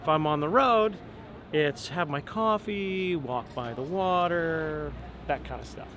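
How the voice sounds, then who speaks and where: A man's voice: on the high side, a little slow. Thoughtful man talking outdoors over street noise. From Long Island, New York. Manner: relaxed, thoughtful, many ums and restarts. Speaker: opera tenor guest. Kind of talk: casual interview while walking.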